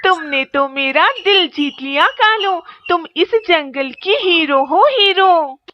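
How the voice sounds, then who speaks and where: Woman as very high-pitched crow wife in cartoon. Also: takes it slowly. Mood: proud, admiring. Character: female crow, Sundari, goading and admiring her husband. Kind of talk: children's cartoon story acting. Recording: dull lo-fi sound, clear noise.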